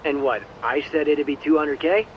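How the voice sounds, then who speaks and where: An actor playing a smug, corrupt police detective who sells information, breezy and teasing, in an English video game dub. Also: male voice, on the high side, moderate pace, highs cut off, a little hiss or hum, natural voice. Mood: casual, businesslike. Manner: breezy, cocky, wry, teasing.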